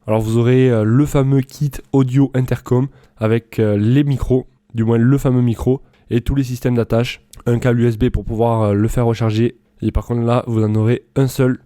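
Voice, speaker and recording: Give the voice of a man doing a casual product review voice-over. His tone is mellow and soft-edged, recorded very dry with no room sound. He explains things informally, as if improvising from loose notes. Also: mid-range voice, little pitch movement, moderate pace, no room sound. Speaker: presenter of product comparison videos.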